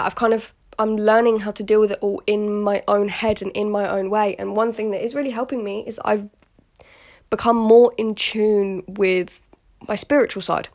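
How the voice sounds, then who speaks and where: A British woman in her late teens hosts a casual solo podcast. Her voice is full of vocal fry and sits at an ordinary pitch. She talks in a candid, rambling way, full of fillers and "you know what I mean" asides. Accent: British English. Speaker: podcast host.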